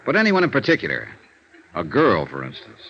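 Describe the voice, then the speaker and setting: Low-voiced, animated radio detective. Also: male voice, fairly quick, heavily acted. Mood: probing. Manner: clipped expense-account narration, probing questioning. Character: wry, persistent male insurance investigator, radio detective. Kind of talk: 1950s radio noir acting, narration and dialogue. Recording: vintage radio recording, dull, music behind.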